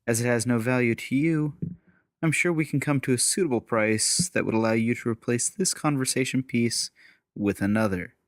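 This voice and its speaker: A man's voice: mid-range voice, normal speed, dry recording, close to an everyday voice. A man plays a suave, flirtatious secret agent in a steampunk audiobook. His mid-range voice is polite and guarded, recorded close and dry. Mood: smooth, bargaining. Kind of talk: dramatic audiobook dialogue.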